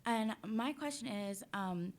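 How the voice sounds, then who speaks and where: A woman's voice: on the high side, slow pace. A young woman, an intern, introducing herself and beginning a question in a hesitant, chatty way. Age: young adult.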